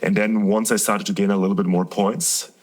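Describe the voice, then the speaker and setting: A man's voice: lowish voice, little pitch movement, brisk. A man from Germany in his thirties, a professional tennis player talking candidly and at length about his career as a guest in a casual interview. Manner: earnest, candid, rambling storytelling.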